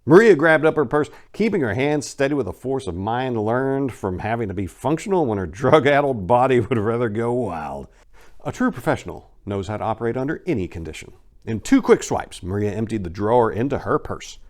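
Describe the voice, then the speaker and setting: A man's voice: medium pitch, lively intonation, brisk. A man narrating a crime short story as a dramatic reading, with theatrical, emphatic delivery.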